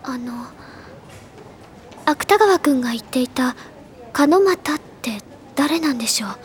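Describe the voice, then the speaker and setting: A woman's voice: sits high, expressive, measured pace, slight background noise. An actress playing an earnest, composed teenage schoolgirl in audio drama dialogue. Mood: concerned, probing.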